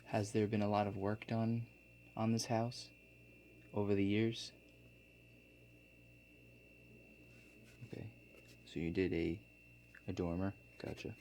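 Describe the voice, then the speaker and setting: A man playing a laid-back construction contractor in a soft-spoken ASMR role-play, talking in a halting, matter-of-fact way. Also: fairly low pitch, level tone, close to an everyday voice. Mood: quietly inquisitive. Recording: faint background noise.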